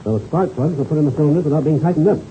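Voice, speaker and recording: Steady, commanding American aviator played by a man, quick delivery, very mellow tone, muffled vintage radio drama. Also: a little high, lightly acted. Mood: businesslike. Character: level-headed, reassuring male American pilot, takes charge. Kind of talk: 1930s radio serial acting. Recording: muffled, hissy old-time radio recording.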